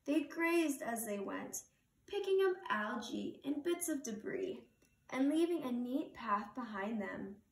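A young adult woman reading a children's picture book aloud with slow, expressive storytelling, sitting on a couch in a living room. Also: a little high, vocal fry. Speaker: reader. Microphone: camera across the room. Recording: roomy living room from far camera.

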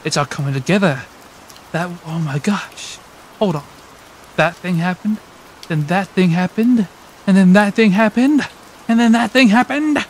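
Deadpan, grumpy detective, fairly high-voiced man acting. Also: measured pace, pauses often. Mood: dawning confusion. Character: grumpy, muddled, deadpan detective who turns into animal. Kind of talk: comedic amateur game redub. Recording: noisy, with rain behind.

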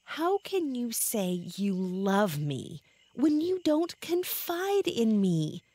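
A pouty young temptress in a bible audio drama, high voice, swoops all over, wheedling. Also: female voice, sing-song, dark tone, no echo, exaggerated voice. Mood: pouting. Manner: slow, drawn-out, wheedling delivery.

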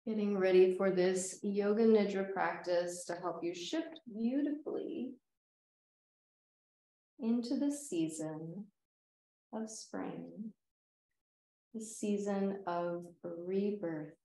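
A woman leading a guided meditation as a yoga nidra teacher, speaking in a soothing, gentle, unhurried way with long pauses. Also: medium pitch, reverberant, low-quality recording.

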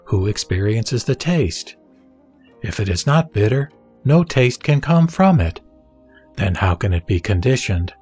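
A man reading a Buddhist sutra as a scripted narration, in a measured, formal, didactic manner. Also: medium pitch, a little mellow. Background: background music.

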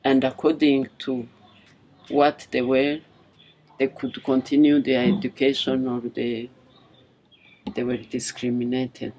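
Slow, low-voiced older Rwandan woman. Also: flat delivery, dark tone, slight background noise, low-quality recording. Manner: halting, deliberate, earnest. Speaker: interviewee, former liberation movement member. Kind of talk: personal testimony in an interview.

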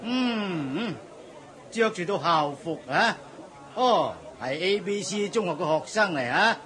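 An actor playing a loud, bossy middle-aged supermarket manager with a husky voice in a radio drama. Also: male voice, sits high, expressive, slow, a faint crowd, full character voice. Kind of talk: 1980s radio drama acting.

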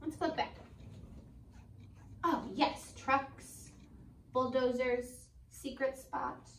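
A woman in her twenties doing an expressive, sing-song read-aloud for young children, as a teacher who asks questions and waits for answers. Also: high-pitched, drawn-out words, a little hiss or hum. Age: 20s. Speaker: preschool teacher. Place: indoor school classroom. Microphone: camera a few metres away. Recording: echoey room, distant microphone.

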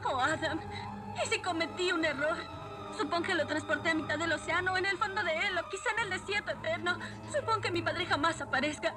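A woman's voice: very high voice, a little fast. A woman voicing a proud, guilt-ridden young warrior captain in a Latin American Spanish cartoon dub. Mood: distraught. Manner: earnest, dramatic.

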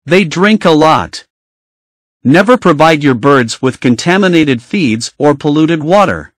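A man giving scripted informational narration in a measured, instructional way. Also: on the high side, expressive, no echo.

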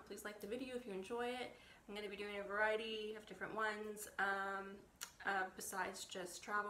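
A woman's voice: medium pitch, a bit bright, a little hiss or hum. A woman talking casually as a travel vlogger, with informal, chatty narration. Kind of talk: casual chat and voiceover narration.

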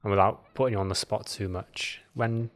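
A fairly low-pitched man in his thirties with a Scouse accent and a slight Welsh tinge. He hosts a podcast in an informal, matey way, speaking close to a studio microphone. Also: fairly even intonation, normal speed. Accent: Merseyside with a slight Welsh tinge. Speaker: podcast host. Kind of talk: casual podcast interview. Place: small acoustically treated podcast studio. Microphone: close studio microphone on a boom arm.

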